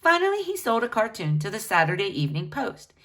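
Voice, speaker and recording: Female children's book reader, mid-range voice, highly animated intonation, expressive and teacherly narration for young listeners. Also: quick pace. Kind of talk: read-aloud narration of a biography for children.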